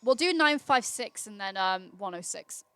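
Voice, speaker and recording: A woman's voice: medium pitch, expressive, normal speed, pushed and bright, smooth, dry recording. A woman hosting a casual livestream, reading aloud in an informal, chatty way. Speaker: livestream host. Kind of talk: casual livestream read-aloud with chat replies.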